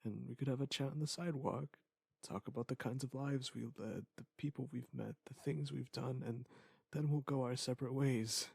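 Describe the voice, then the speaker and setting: A man acting a wistful former lover in an intimate scripted monologue, speaking in a halting, reflective way with a husky, gravelly voice. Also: medium pitch, fairly even intonation, darkish tone, no room sound. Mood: resigned, wistful.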